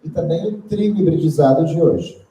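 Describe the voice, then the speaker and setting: A woman's voice: low-pitched, brisk, dark tone, roomy sound, recorded from a distance, rough recording. A woman interpreting a lecture phrase by phrase, hesitating often with fillers. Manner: hesitant, fills with "ah" and "é". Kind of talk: consecutive interpreting.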